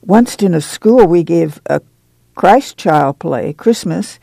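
A naive young woman in a storybook, voiced by a man. The delivery is soft, shy and guarded, half mumbled. The tone is mellow and close, with a dry recording. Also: a little high, lively intonation, slow pace, vocal fry, no echo, full character voice. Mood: naive awe.

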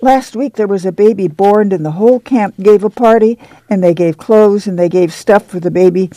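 A woman reading aloud voices an excited young pregnant farm girl who gushes in a rural drawl, raising her voice a little. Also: on the low side, no room sound, natural voice. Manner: gushing, rapid rural drawl.

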